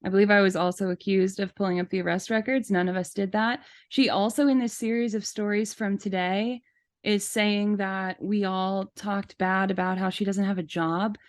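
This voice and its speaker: A woman's voice: mid-range voice, steady tone, moderate pace. A woman co-hosting a podcast, chatting casually in an articulate, emphatic way. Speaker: podcast co-host. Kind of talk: casual chat.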